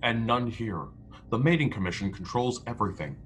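A man's voice: medium pitch, expressive, a little fast, lightly acted. A man playing a proud, stubborn, defiant starship crewman in a radio play, speaking in a declamatory way. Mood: guarded. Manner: declamatory, speaks of himself in third person. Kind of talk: radio play acting over video call. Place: indoor room at home. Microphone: headset on a video call. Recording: background noise on video call.

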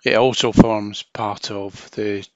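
A man's voice: fairly low pitch, flat delivery, slow pace, dry recording, muffled recording. A man narrating a hands-on technical tutorial as a trainer, explaining informally step by step.

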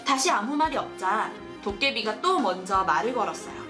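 A woman hosting a children's show, reading a storybook and asking questions in an animated, sing-song, teacherly way. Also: mid-range voice, moderate pace, music in the background. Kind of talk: storybook narration and chat with a child.

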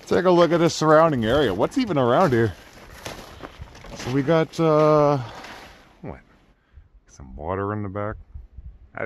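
A middle-aged man giving casual, halting, off-the-cuff commentary as he walks around outdoors with a handheld camera. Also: mid-range voice. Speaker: exploration vlogger. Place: outdoors in snowy burned-out house ruins. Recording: clearly noisy outdoor recording with clicks.

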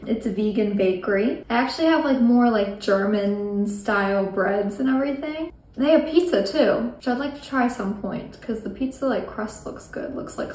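A woman's voice: a little high, a little hiss or hum. A woman in her twenties chatting casually and informally to the camera as a travel vlogger. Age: 20s. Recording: music under the voice. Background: music.

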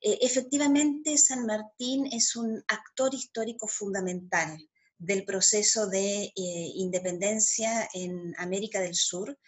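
A middle-aged woman from Argentina, a historian guest, explaining history in a measured way over a video call from home and tagging her points with "¿ah?". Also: medium pitch, steady tone, slightly rough. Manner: measured, frequent "¿ah?" tags. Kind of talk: academic explanation. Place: home room. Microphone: video call laptop mic.

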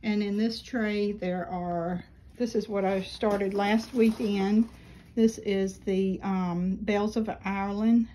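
A middle-aged woman chatting casually about her seedlings in a small greenhouse, unhurried and rambling with many ums, filmed on a handheld phone. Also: medium pitch, fairly even intonation, dark tone, a little hiss or hum, poor audio. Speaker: flower farm vlogger. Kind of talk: casual gardening vlog. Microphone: phone camera held while filming.

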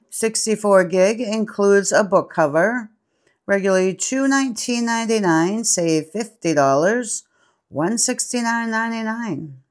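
A woman reads down a sale flyer item by item, calling out every model and price in an even, listing way. She takes her time, with unhurried, deliberate pacing and a middle-range pitch, close to a phone held over the page. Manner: steady itemized listing of products and prices. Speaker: channel host.

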